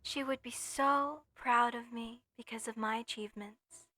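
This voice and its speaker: A woman's voice: fairly high pitch, steady tone, measured pace, sharp tone, dry recording. A woman playing an obsessive, sweetly menacing mortician in a horror roleplay, with sugary, theatrical delivery and formal wording. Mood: emotional, grateful. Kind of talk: scripted horror roleplay monologue to listener.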